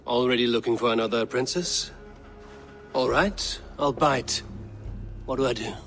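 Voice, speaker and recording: Proud battle-hardened Viking raider. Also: male voice, medium pitch, a little slow, fairly bright tone, soft background music. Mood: grim, guarded. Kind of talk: video game cutscene acting.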